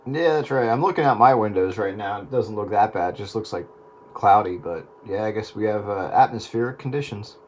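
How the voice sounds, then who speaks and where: A man's voice: fairly low pitch, a little fast, very noisy. Man chatting casually over two-way radio. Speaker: amateur radio operator in a round-table chat.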